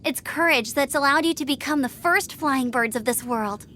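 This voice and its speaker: A woman's voice: high voice, normal speed, bright, forceful tone. A young woman playing an upbeat, encouraging female scout, over game music. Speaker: scout and gliding champion. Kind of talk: game cutscene dialogue.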